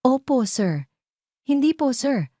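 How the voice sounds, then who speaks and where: A woman's voice: high voice, big pitch swings, slow pace, warm tone, no echo, clean studio sound, pitched above the performer's own voice, heavily acted. A woman voicing a weary, guarded young female servant in an audio drama, curt, cold and polite.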